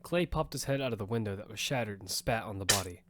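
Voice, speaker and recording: A man narrating an audio drama in first person as its lead, telling the story with quick urgency over music. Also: lowish voice, expressive, noisy background, natural voice.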